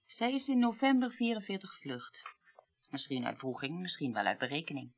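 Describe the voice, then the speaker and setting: An actress playing a weary, well-read middle-aged woman in a radio play, recounting the past deliberately and articulately. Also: low-pitched, big pitch swings, full character voice. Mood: grave. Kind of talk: 1980s radio play acting.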